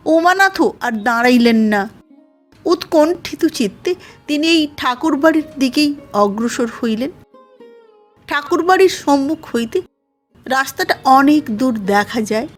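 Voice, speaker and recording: A woman narrating a literary audio story in a measured, formal reading manner. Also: high voice, expressive, faint music behind.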